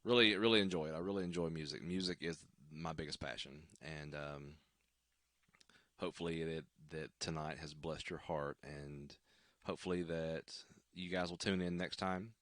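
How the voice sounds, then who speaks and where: A man with a deep baritone voice, worn out and scratchy, chatting haltingly to viewers at the end of a worship livestream from home. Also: steady tone, fairly bright tone, no room sound, studio quality. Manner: halting, many ums and restarts. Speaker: worship singer hosting a livestream. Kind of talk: casual livestream sign-off chat.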